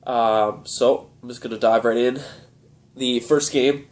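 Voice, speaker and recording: A young man with a head cold talks in a stuffed-up, nasal voice. He rambles in a relaxed, easygoing way, padding his sentences with "uh" and "um" as he shows things off. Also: medium pitch, unhurried. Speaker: video game collector vlogger. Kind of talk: casual show-and-tell vlog.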